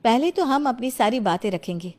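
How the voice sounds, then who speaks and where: A woman's voice: on the high side, lively intonation, normal speed, a little mellow, pitched above the performer's own voice, natural voice. Lady doing a outspoken young indian village mom in a cartoon tale, indignant and firm. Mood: firm, defiant. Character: defiant, outspoken young village daughter-in-law and mother.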